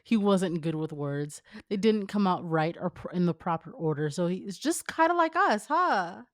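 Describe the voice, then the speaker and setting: A woman's voice: fairly low pitch, expressive, normal speed, no echo. Casual, giggly woman in a dry recording. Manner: giggly, slangy, teasing. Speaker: co-host reading fan fiction aloud. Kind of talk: casual comedic read-aloud with commentary.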